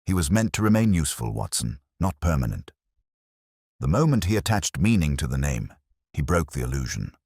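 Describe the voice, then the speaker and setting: A man voicing a grave, incisive detective in an audiobook, speaking precisely and deliberately. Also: extremely low pitch, sing-song, a little mellow, breathy edge, no room sound, clean studio sound, exaggerated voice.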